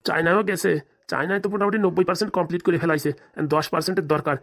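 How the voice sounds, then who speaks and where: A woman voicing a smooth-talking, scheming male fixer, boastful and persuasive, with a rural Bengali accent in a comedy cartoon. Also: fairly low pitch, rapid-fire.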